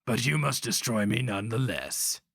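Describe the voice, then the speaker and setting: Man playing a booming, ferocious barbarian brute. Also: animated, moderate pace, creaky, a bit bright, dry recording, clean studio sound. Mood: defiant. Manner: booming, roaring, taunting. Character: brutish, ferocious barbarian pit champion, mocking and defiant.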